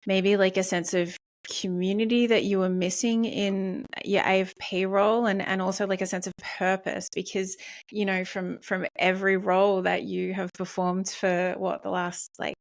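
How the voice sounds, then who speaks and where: A woman's voice: mid-range voice, flat delivery, moderate pace. A woman from Australia hosting a podcast interview, asking questions in a loose, searching way with restarts and fillers. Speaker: podcast host. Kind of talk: casual conversational interview.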